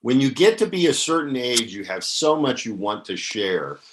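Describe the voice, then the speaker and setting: A man's voice: medium pitch, very animated, moderate pace, fairly bright tone, slight background noise. A man hosting an interview podcast, encouraging his guest with affirming words and hesitant fillers. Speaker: podcast host.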